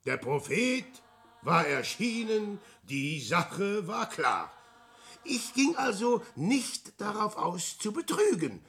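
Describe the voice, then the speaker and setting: An actor playing a boastful, lecherous adventurer posing as a prophet in a verse radio play, declaiming theatrically between musing and crowing. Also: male voice, high voice, very animated, slow, a bit bright, husky, full character voice. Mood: smug musing.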